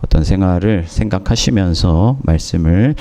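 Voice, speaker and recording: A man preaching a sermon as pastor, hoarse from a cold, speaking in measured phrases with pauses and fillers. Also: low-pitched, mellow. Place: church in Moscow.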